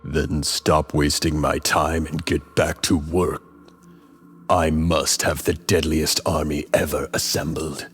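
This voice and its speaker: An actor playing a vengeful, imperious villain lord in a radio drama, raspy and commanding. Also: male voice, very deep voice, level tone, slow, faint music behind, full character voice. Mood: menacing. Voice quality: husky, raspy. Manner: imperious, menacing.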